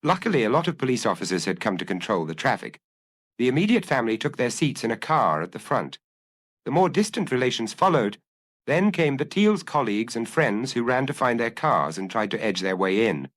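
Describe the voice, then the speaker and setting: A man narrating a detective story as an audiobook, in a measured, literary storytelling manner. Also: mid-range voice, big pitch swings, a bit bright, dry recording.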